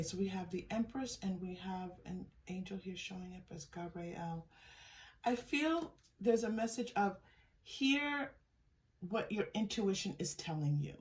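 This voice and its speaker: Woman tarot reader, soft and musing, mid-pitched, a bit distant from the mic. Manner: unhurried, musing, often trails off and restarts; soft-spoken, gives thanks to unseen guides. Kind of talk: pick-a-card tarot reading.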